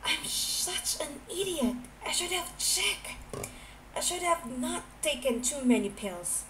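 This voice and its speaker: Brief: female reader as distraught young man in audio fan fiction; high, halting, tearful, apologetic; noisy home recording. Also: expressive, a bit bright, reverberant, full character voice. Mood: flustered, self-blaming. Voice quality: sobbing, breathy pleading. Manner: stammering, halting. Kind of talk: dramatised fan fiction reading.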